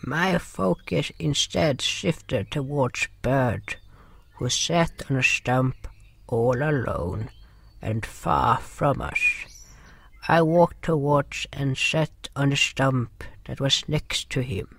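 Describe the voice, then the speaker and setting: A man playing a gentle, caring healer with water powers in a fantasy audio drama, speaking in slow, formal, slightly stilted phrases. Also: mid-range voice, lively intonation, creaky, a little hiss or hum, heavily acted. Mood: solemn storytelling. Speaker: narrator and lead character. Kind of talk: first-person fantasy audio drama narration and dialogue. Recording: music behind the voice.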